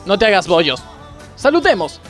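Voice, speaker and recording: High-voiced pompous coach played by a man. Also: big pitch swings, normal speed, a bit bright, soft background music. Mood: solemn pride. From Argentina. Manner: grandiose speechifying, melodramatic. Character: pompous, moralizing male gymnastics coach, scolding yet easily distracted. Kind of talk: parody radio play acting.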